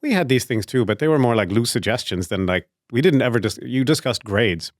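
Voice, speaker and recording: A man's voice: medium pitch, lively intonation, a little fast, no room sound, clean studio sound. A man hosting an interview show, asking curious, conversational questions with hesitant restarts. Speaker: podcast host.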